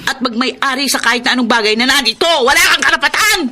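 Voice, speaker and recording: An actress playing a spiteful, hot-tempered sister-in-law in a Filipino radio drama, with a combative, scolding delivery. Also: female voice, sits high, fast talker, pushed and bright. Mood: scornful, hostile. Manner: combative, scolding, shrieking when provoked.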